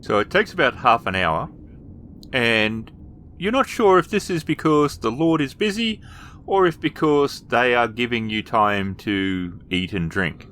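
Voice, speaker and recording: A man narrating a tabletop roleplaying game as storyteller, describing scenes in improvised fragments and pausing to find words. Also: mid-range voice, animated, fairly bright tone, slight background noise.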